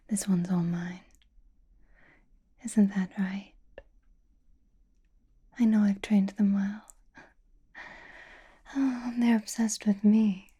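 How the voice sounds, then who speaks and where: Woman acting slow, whispering, possessive female vampire. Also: mid-range voice, warm tone. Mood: haughty, possessive. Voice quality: breathy whisper. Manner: slow, coy, intimate, commanding. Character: possessive, teasing female vampire doting on her pet. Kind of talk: ASMR roleplay, whispered.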